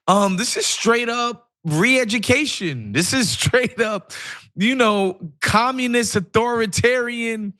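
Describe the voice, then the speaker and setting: A man co-hosting a political talk show, speaking loosely and in an animated way. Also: very high-pitched, slow pace. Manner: animated, loose, rising into sarcasm. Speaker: co-host. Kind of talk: casual political discussion.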